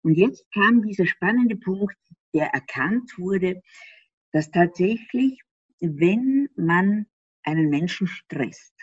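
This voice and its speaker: Lively Austrian woman explaining health. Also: lowish voice, slow, slightly rough, dry recording. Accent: Austrian German. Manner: animated, laughs often, explains with rhetorical questions. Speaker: interview guest. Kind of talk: explanatory interview talk. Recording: slightly dull dry sound.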